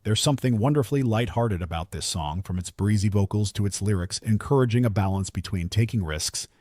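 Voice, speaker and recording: A man narrates a scripted countdown in a fairly low voice. His delivery is polished and measured, like a documentary storyteller. The sound is dry and close, recorded as a voice-over. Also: a little mellow, no room sound.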